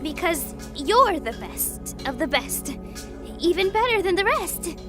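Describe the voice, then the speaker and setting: A high-voiced fox girl, anxious, whiny and hopelessly lovestruck. She talks in casual youthful phrases, in a mythological audio drama with clear music behind the dialogue. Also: big pitch swings, takes it slowly, heavily acted. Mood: nervous flattery.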